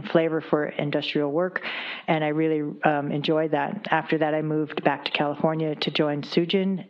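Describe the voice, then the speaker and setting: A woman, an industry scientist, telling her career path on a panel in a steady, matter-of-fact way. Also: low voice. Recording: dull lo-fi room recording.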